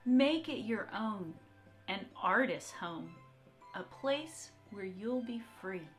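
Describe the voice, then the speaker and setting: A woman art teacher reading aloud and talking to young children in an encouraging, expressive, direct way. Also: medium pitch, slow pace, loud music behind the voice. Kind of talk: children's read-aloud and talk to young kids.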